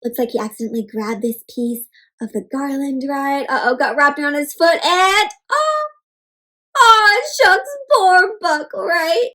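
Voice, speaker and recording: A woman doing an interactive storybook read-aloud for children, animated, asking the listeners questions and adding playful sound effects and exclamations. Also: high-pitched.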